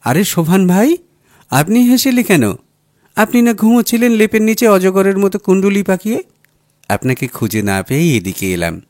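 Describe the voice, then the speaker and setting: A man's voice: sits high, big pitch swings, normal speed, a little mellow, dry recording, studio quality, pitched above the performer's own voice. A man voicing a young housewife in an audiobook story, chatty and teasing, affectionate with a wistful streak. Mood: playful surprise. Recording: music under the voice.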